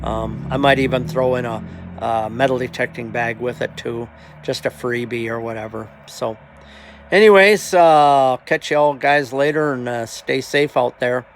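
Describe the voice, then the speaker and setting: A man with a gravelly, creaky voice talking casually as a hobby video host, halting and plainspoken, often trailing off. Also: mid-range voice, a little hiss or hum. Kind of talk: casual rambling vlog talk.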